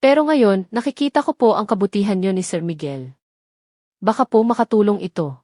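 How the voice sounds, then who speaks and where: A woman's voice: medium pitch, animated, fairly quick, a little mellow, no echo, studio quality, lightly acted. A woman voicing a timid, respectful female ex-employee in an audio drama, deferential and hesitant. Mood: confessing.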